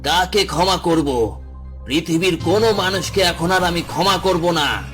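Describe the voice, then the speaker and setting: A man playing a vengeful ghost shopkeeper in a horror cartoon, speaking in a deliberate, ominous manner. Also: high-pitched, a bit bright. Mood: vengeful rage. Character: vengeful male ghost shopkeeper, murdered in war, eerily polite then menacing. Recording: clear background music.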